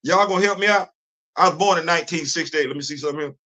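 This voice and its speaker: Animated middle-aged man, restless commentary. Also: on the high side, rapid-fire. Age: 50s. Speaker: host of a commentary livestream. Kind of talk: casual livestream commentary. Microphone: phone.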